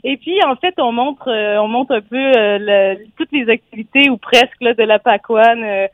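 A woman's voice: fairly high pitch, moderate pace, dry recording. A woman with a Quebec French accent, a guest chef and sommelier on a phone interview, talking in a bubbly way with frequent laughs and fillers. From Quebec, Gatineau valley. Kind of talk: phone interview on local radio. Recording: tinny phone line, dry.

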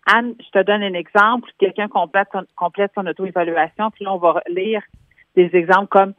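A woman's voice: medium pitch, fairly even intonation, moderate pace, no room sound. Québécois woman explaining over a phone line. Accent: Québécois French. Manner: explanatory, gives concrete examples. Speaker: workplace columnist calling in. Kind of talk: radio phone-in commentary. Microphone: telephone. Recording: tinny phone line, dry.

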